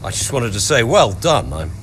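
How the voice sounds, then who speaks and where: A man playing an imperious older businessman and team owner in a video game drama, measured and commanding. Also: mid-range voice, sing-song, a little hiss or hum, close to an everyday voice. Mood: condescending approval. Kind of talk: cinematic video game acting.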